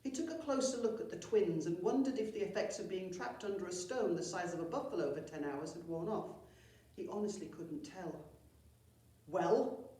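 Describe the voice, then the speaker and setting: A woman's voice: on the low side, a little fast, mellow. A woman reading a children's story expressively, as a storyteller at a primary school. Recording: very echoey room, far from mic.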